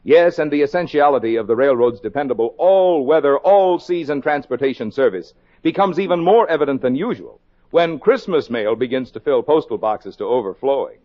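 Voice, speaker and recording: A man's voice: medium pitch, expressive, fairly quick. A man reading scripted announcements in a formal, emphatic 1950s radio announcing style. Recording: dull vintage 1950s radio recording.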